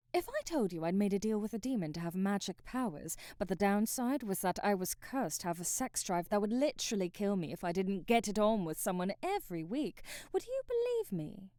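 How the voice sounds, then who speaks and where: A woman's voice: medium pitch, a little mellow, no echo, clean studio sound. A woman playing an eccentric traveling magician, brash, teasing and flirtatious, in an intimate, theatrical ASMR roleplay. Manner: theatrical, teasing, drawling asides and murmurs.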